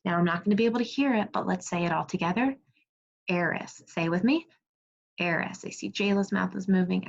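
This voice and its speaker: Expressive woman teacher reading aloud, muffled audio. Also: on the low side, vocal fry, breathy edge. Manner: expressive storybook reading, praising and prompting pupils. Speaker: second grade teacher. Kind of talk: read-aloud lesson to young children. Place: remote video class. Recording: muffled, lo-fi online class audio.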